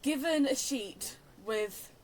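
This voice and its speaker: Rambling young woman, slightly high voice. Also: animated, slow, a little hiss or hum, poor audio. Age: young adult. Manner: chatty, digressive, self-deprecating. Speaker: game streamer. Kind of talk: casual rambling game commentary.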